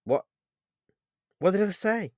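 A man's voice: medium pitch, animated, unhurried, clear, resonant tone, no echo, voice pushed up, close to an everyday voice. A man plays a woman in love in a romance story. She stammers and doubles back on her words with open feeling. The recording is dry. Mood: startled. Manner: stammering, breaks off and repeats. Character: playful, apologetic woman in love, Olivia. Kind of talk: dramatised romance audiobook dialogue.

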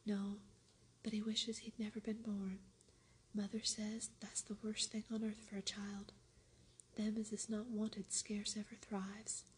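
A woman whispering the lines of a kind, calm Yorkshire farm boy in a storybook reading, keeping a soft Yorkshire dialect. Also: mid-range voice, measured pace, a little hiss or hum, natural voice. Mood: gentle. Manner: whispered, gentle.

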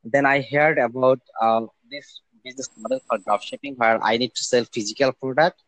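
A young man from Bangladesh talks as a guest over a remote call, pausing often with fillers and restarting his sentences. His voice sits at a middling pitch and sounds dry and close, with no room around it. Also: fairly even intonation, a bit bright, no echo, rough recording. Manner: hesitant, many fillers and restarts. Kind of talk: casual interview talk.

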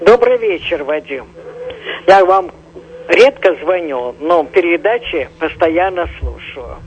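A woman's voice: on the low side, animated, slow pace, a little hiss or hum. A woman calling into a radio show, speaking earnestly and complaining. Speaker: caller. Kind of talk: phone-in call. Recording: dull lo-fi phone call.

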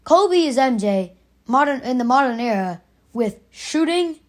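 A young woman with a raspy, slightly high voice hosts a solo podcast. She argues her opinion emphatically, circling back and repeating her points to drive them home. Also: animated, unhurried. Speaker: podcast host.

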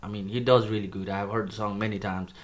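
A man's voice: fairly low pitch, fairly even intonation, quick pace, heavy background noise. A man from Nepal, a native Nepali speaker, hosting a casual video review of rap songs, chatty and enthusiastic while joking about his put-on accent. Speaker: music reviewer.

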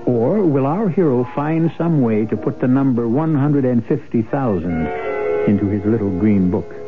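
A man hosting and narrating an old-time radio drama in a measured, literary, dramatic way. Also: medium pitch, animated. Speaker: host and narrator. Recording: muffled, band-limited old radio recording. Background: music.

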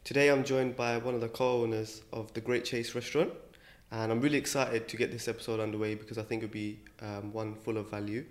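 A man hosting an interview podcast, a bit croaky from a cold, talking in a relaxed way with many ums. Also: mid-range voice, fairly quick. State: a bit croaky, under the weather. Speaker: podcast host. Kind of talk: casual interview chat.

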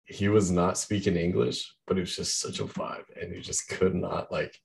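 A young man with a deep voice chatting casually about the music he loves, rambling with lots of fillers. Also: fairly even intonation, normal speed, creaky, a little hiss or hum. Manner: rambling, heavy use of "like".

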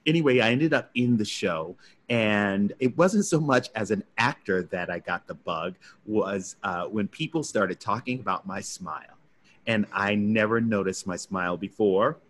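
A man with a baritone voice, a guest actor reflectively telling his story in an interview. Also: normal speed. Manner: reflective, acts out his own past thoughts.

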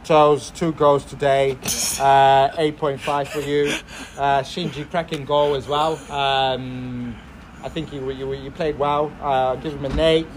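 A man's voice: medium pitch, level tone, a little slow, slight background noise. A man, an amateur footballer, talking casually through a match just played. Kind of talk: casual post-match chat.

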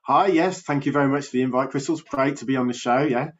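A man from England with a Birmingham accent, a guest on a podcast, chatting quickly with plenty of fillers and little laughs. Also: mid-range voice, lo-fi sound. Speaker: podcast guest, health coach. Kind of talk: podcast interview.

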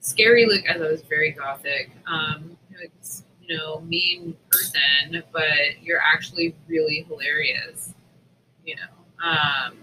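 A man with a very bright, forward voice chats casually as a podcast co-host. His pitch sits a little high, and he is self-deprecating and jokey. Faint noise runs behind him. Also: fairly even intonation, measured pace, low-quality recording. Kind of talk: casual chat.

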